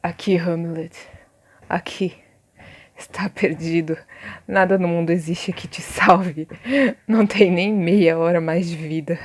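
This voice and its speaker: Lady doing a dying nobleman, low and quiet, halting, full of remorse, radio drama. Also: fairly even intonation, no echo. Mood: weak, grave. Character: dying poisoned nobleman, remorseful, confessing treachery. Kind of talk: melodramatic radio drama acting of a stage tragedy.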